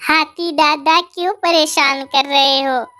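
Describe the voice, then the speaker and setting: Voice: high-pitched, fairly even intonation, takes it slowly, pushed and bright, soft background music, exaggerated voice. A performer voicing a small, stubborn male turtle in a cartoon animal story, protesting and defiant. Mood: complaining.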